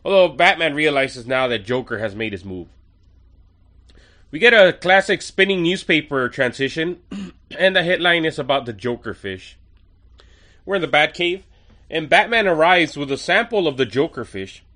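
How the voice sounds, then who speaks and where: A man's voice: on the high side, sing-song, normal speed, sharp tone. A man hosting a podcast and reviewing an episode in a chatty, enthusiastic way with wry asides, a little nasal from a cold. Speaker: podcast host.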